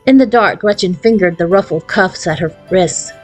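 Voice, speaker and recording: A woman reading a children's storybook aloud in an expressive, narrating manner. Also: on the low side, moderate pace, soft background music, rough recording. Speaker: audiobook reader.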